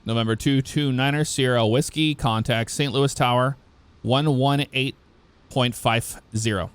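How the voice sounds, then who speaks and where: A man's voice: mid-range voice, steady tone, a little slow, smooth, dry recording. Procedural male air traffic controller. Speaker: air traffic ground controller in an online flight simulation network.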